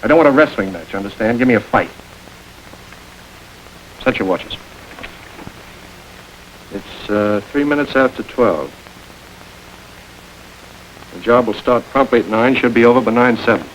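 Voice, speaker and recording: A man plays a cool-headed middle-aged gang boss who lays out a robbery plan in a calm, measured, commanding way. His voice sits at an ordinary middle pitch. A faint hiss runs under this 1930s crime film soundtrack. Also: close to an everyday voice, rough recording.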